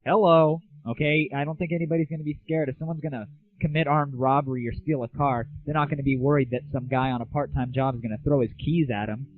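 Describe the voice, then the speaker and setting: A man's voice: steady tone, fast. A young American man hosting an audio show, chatting sarcastically and irreverently in a casual comic rant and review style. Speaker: host of an online gaming audio show. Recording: tinny, phone-like low-bandwidth audio, very dry.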